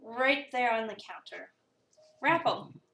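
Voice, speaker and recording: Girl putting on a gruff busy chef woman from a visual novel, slow n curt, roomy sound. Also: fairly high pitch, exaggerated voice. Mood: gruff, deadpan. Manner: terse, dismissive, deadpan. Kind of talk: visual novel dramatic reading. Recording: roomy, a bit far from the mic.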